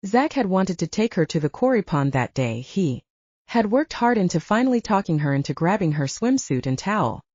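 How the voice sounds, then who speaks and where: Mellow, low-voiced woman narrating fiction. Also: sing-song, normal speed, no echo, muffled recording. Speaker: audiobook narrator.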